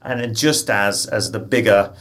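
A man interviewing a guest, asking long questions in a halting way with many restarts. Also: on the low side, fairly even intonation, a bit bright. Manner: halting, restarts his sentences.

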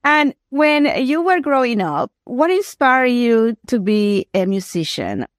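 A woman's voice: fairly high pitch, very animated, slow pace, no room sound, clean studio sound. A woman hosting an interview, asking encouraging questions and dropping in Spanish phrases now and then. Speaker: talk show host. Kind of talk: interviewing a guest.

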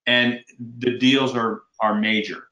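Guest broker, male, mid-pitched, sincere and folksy, roomy echo on the track. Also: level tone, pushed and bright, muffled recording. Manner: earnest, folksy, halting with restarts. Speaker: commercial real estate broker, guest. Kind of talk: casual advice in podcast interview. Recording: roomy echoey sound.

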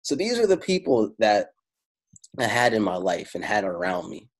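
A man whose first language is Italian, telling stories in a casual talk on spirituality. He swears freely and slows down to stress his points. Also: fairly low pitch, big pitch swings. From Italy. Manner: casual and profane, slows down for emphasis. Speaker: spiritual speaker.

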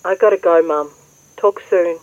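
An actress playing a patient, earnest adult Australian daughter in an audio drama, explaining things clearly. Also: female voice, lowish voice, a little slow, darkish tone, lo-fi sound, a little hiss or hum, natural voice. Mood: gentle. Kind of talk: naturalistic audio drama dialogue.

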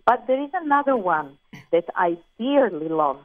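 A woman from Croatia with a Croatian accent, a musician talking thoughtfully and with pauses as an interview guest. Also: fairly high pitch, sing-song, no echo. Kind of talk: interview over the phone. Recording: tinny phone line, dry.

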